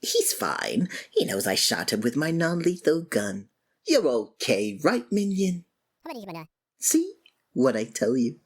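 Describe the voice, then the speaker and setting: A woman playing a sardonic, theatrical supervillain who monologues and taunts in a scripted ASMR roleplay, with a breathy voice. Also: rumbling low, very animated, slow pace, exaggerated voice. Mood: matter-of-fact, sardonic.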